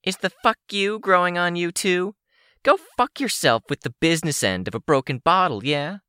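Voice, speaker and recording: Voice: medium pitch, sing-song, moderate pace, pushed and bright, no room sound, studio quality, voice pushed up. A narrator voicing a furious, taunting young female supervillain with a shrieking, sneering, profane delivery.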